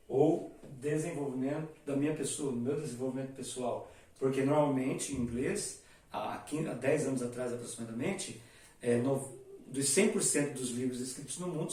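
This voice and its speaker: A man gives a classroom-style lesson, his voice a little high for a man and heard from some distance. The room rings with heavy echo as he explains step by step and writes on a board while talking. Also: darkish tone. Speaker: English teacher. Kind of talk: whiteboard lesson to camera.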